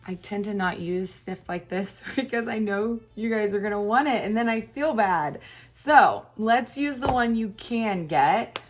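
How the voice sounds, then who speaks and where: A woman with a hoarse, partly lost voice, chatting casually and laughing at herself while doing her makeup on camera. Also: mid-range voice, expressive, a little slow, faint music behind. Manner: chatty, self-deprecating, laughs often. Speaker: beauty YouTuber. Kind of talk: casual get-ready-with-me chat.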